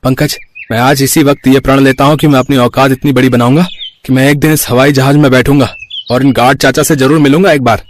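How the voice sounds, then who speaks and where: A man's voice: mid-range voice, steady tone, fast talker, close to an everyday voice. Man playing an earnest young village man. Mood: resolute. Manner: resolute, declaring. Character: earnest, determined young village vegetable seller, devoted son. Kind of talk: cartoon story voice acting.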